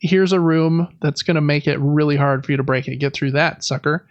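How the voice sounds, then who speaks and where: A man's voice: fairly high pitch, fairly even intonation, brisk, no room sound, lo-fi sound. A man co-hosting a podcast, chatting casually about video games in a rambling, enthusiastic, informal way. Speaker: podcast co-host.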